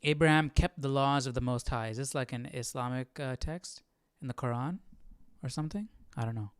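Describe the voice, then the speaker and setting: A man's voice: mid-range voice, a little fast, clean tone, no room sound. A man hosting a live Bible study, informal and curious, thinking aloud as he chats and reads. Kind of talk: casual livestream chat and reading aloud.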